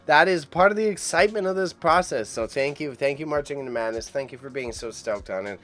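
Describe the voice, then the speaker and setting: A man hosting a casual auction livestream, chatty and excitable as he rambles to his chat, with a mild impediment on sh and ch sounds. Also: a little high, fairly quick. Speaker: livestream host reacting to an online auction. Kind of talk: casual live stream commentary.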